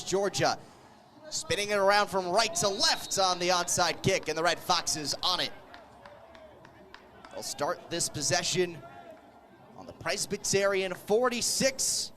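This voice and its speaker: A man doing live play-by-play radio commentary of a football game, calling the action with excited energy. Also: high voice. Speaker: play-by-play sports radio announcer.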